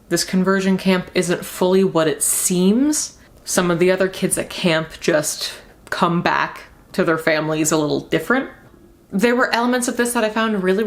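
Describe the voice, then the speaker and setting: A young woman giving a casual book review as a vlogger, speaking in an informal, rambling and emphatic way. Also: fairly low pitch.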